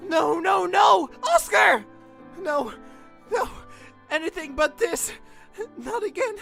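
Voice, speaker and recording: A woman's voice: very high-pitched, expressive, takes it slowly, creaky, fairly bright tone, shouting, faint music behind, heavily acted. A woman voicing an earnest, overwrought youngster in a fan audio drama, pleading and emotional. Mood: anguished.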